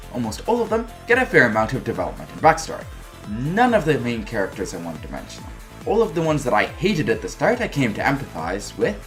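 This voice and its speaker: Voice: mid-range voice, fairly bright tone. A reviewer narrating a scripted review in an informal, wry and emphatic way. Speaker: video game reviewer.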